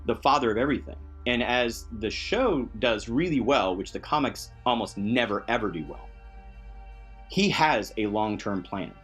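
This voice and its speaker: A man's voice: medium pitch, a bit bright, husky. An enthusiastic man talks casually as a podcast guest, with an ordinary mid-range voice. He sounds like a game designer happily explaining his hobby, often on the edge of a chuckle. Music plays behind him. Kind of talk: excited casual chat.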